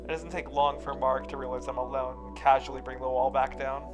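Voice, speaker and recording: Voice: medium pitch, little pitch movement, a little fast, over music. A person reading a visual novel aloud with friends, moving between narration and casual banter.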